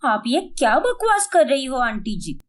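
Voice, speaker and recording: A young daughter-in-law from a family drama story, acted by a woman. She is indignant and quick to scold. Her high voice moves up and down with very lively intonation. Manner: scolding, self-doubting, dramatic.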